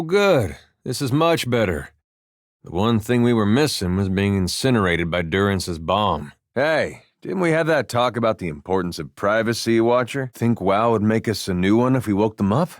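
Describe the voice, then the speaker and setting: An actor voicing an easygoing, good-hearted farmer turned adventurer in a video game, with a folksy, dryly funny delivery. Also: male voice, fairly low pitch, normal speed, hardly any pauses, no room sound, natural voice. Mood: wry, dry. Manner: folksy, laid-back, dry deadpan humor. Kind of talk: video game character dialogue.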